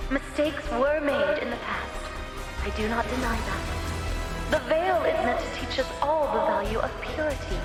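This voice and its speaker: A woman voicing a pious, unsure young empress who speaks formally and pleadingly in an echoey game cutscene with music. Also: high-pitched. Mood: solemn, gentle. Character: pious, wavering young empress, sheltered and earnest. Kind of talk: animated game cutscene acting.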